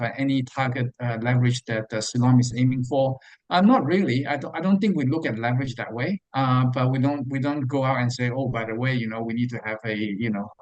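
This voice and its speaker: A man's voice: mid-range voice, no echo, low-quality recording. Hesitant male finance executive, dry close sound. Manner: hesitant, halting delivery with repeated words. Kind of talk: answering investor questions on an earnings webinar.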